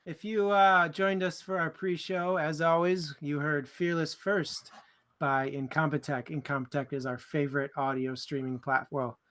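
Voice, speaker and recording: A man's voice: fairly high pitch, fairly even intonation, normal speed, a bit bright. A man co-hosting a book club livestream in casual, informal chat with a bit of joking.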